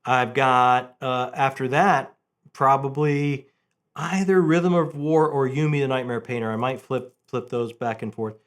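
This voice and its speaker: A man hosts a book review video in a loose, conversational way. His voice sits at a medium pitch. He tells things like an animated storyteller, often repeating a phrase to drive it home. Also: unhurried. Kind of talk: casual conversational book chat.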